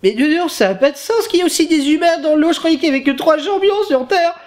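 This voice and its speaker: A man's voice: very high-pitched, animated, fast, voice pushed up. A man voicing a cheeky, naive teddy bear sidekick who heckles in a comedy review. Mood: baffled, cheeky. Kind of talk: comic puppet sidekick commentary. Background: music.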